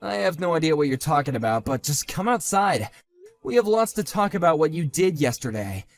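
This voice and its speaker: A man voicing a calm, sardonic grown man who talks in a level, dry and cutting way, in a one-man voiced drama. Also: fairly high pitch, a little fast, soft background music.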